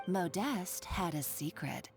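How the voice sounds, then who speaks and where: A woman's voice: on the low side, animated, slow, gravelly, full character voice. A woman playing a scheming, mocking female villain in a video game, gloating theatrically. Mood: scornful, gloating. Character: scornful, scheming adult female villain holding a hostage. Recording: game music behind.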